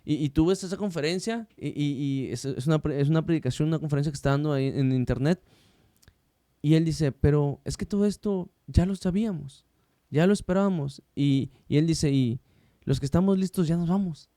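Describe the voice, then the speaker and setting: A man's voice: a little high, fairly quick, dark tone, dry recording, clean studio sound. A man hosting a casual faith podcast, chatty and slangy, with many restarts. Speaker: podcast host.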